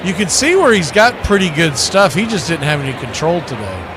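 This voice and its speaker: A man's voice: on the high side, sing-song, fairly quick, noisy background. A man calling live baseball play-by-play, conversational, with clipped calls and wry asides. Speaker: play-by-play radio announcer.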